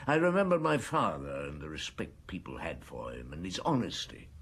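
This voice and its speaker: A shrewd British detective chief in a radio play. His husky voice moves expressively up and down as he probes and reflects, measured and world-weary. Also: sits low, huge pitch swings, fairly bright tone, exaggerated voice. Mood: weary, reflective. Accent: British English. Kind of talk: British radio drama acting.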